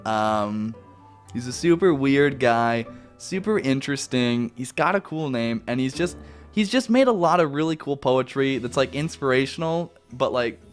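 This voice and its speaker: Young Canadian guy, lively rambling Q&A. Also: mid-range voice, normal speed, faint music behind. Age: young adult. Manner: rambling, self-correcting, laid-back. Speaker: YouTuber answering viewer questions. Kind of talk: casual Q&A chat.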